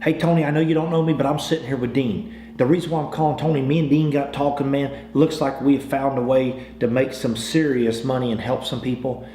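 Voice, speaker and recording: A man's voice: mid-range voice, fairly even intonation, fairly quick, dark tone. Echoey American man, salesman-like trainer. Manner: salesman-like, folksy, repeats phrases and restarts. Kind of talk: informal training talk with acted example phone calls. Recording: roomy, echoing sound with faint noise.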